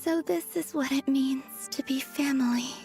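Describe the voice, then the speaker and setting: A game's moon goddess with a fairly high, soft voice. She sounds breathy, aloof and wistful, musing formally as if to herself, with music behind her. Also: moderate pace. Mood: wistful, wondering. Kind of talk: scripted video game inner monologue.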